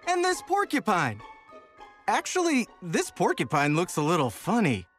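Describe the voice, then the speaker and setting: A woman's voice: on the low side, huge pitch swings, moderate pace. A woman narrating a children's storybook read-aloud in a playful, emphatic storytelling manner. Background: music under the narration.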